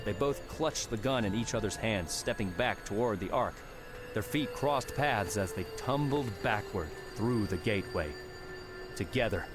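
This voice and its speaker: A man narrates an audiobook with a measured, dramatic delivery. His voice sits low, and a music score plays clearly behind him. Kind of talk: scripted narration.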